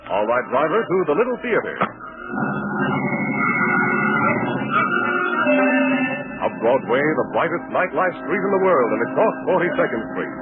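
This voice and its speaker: An actor playing a genial, courteous theatergoing host, narrating in a theatrical 1940s radio style. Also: male voice, a little high, sing-song, fast, narrow, tinny audio. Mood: genial, eager. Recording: thin 1940s radio with loud music.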